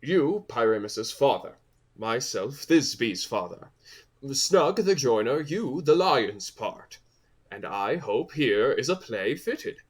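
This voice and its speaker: A man's voice: medium pitch, very animated, takes it slowly, slightly rough, full character voice. A man playing a fussy, coaxing workman who directs amateur players, earnest and persuasive, in a comic Shakespearean audio play. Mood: instructing.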